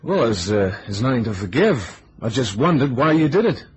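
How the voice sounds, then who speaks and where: Guy playing old radio detective, breezy, wisecracking, teasing, medium pitch, vintage recording. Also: big pitch swings, normal speed, lightly acted. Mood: wry, teasing. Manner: wry, teasing, slangy. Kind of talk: old-time radio detective drama acting.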